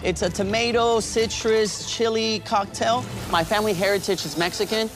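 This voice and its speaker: A man from Texas talking as a contestant on a televised cooking competition. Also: sits high. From Texas, United States. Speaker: cooking contestant, high school teacher. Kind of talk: reality TV interview and kitchen talk. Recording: clear music behind the voice.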